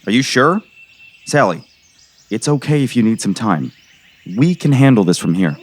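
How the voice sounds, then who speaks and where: A man acting a gruff, skeptical middle-aged sheriff in an audio drama, exasperated and pressing. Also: medium pitch, sing-song, normal speed, no echo. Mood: grave, concerned. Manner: exasperated, sarcastic, pressing interrogator.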